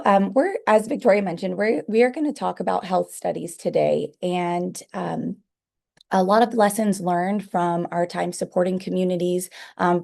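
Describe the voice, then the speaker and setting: A hoarse woman presenting a talk over a video call, speaking carefully to be understood. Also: on the low side, dark tone. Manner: careful, deliberate delivery. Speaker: guest presenter.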